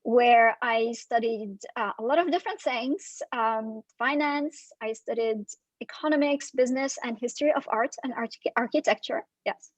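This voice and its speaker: A woman in her forties from Syria, a life and career coach, speaking reflectively as an interview guest with frequent pauses and fillers. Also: a little high, dry recording, poor audio. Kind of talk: guest in casual video interview.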